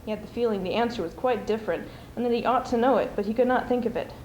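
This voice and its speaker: Young female student prose reader, higher voice, dramatic storytelling, roomy recording with faint noise. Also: fast, a little mellow, dull audio. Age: teens or young adult. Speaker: student speech performer. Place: school speech showcase before an audience. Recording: roomy, noisy amateur stage recording.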